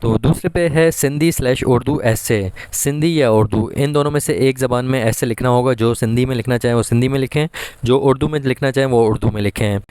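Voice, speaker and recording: A man with a Pakistani accent gives an informal, instructional voice-over in a clean, smooth voice. The sound is close and dry, and he works through each point methodically at a medium pitch. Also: darkish tone, no room sound. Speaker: exam guidance presenter.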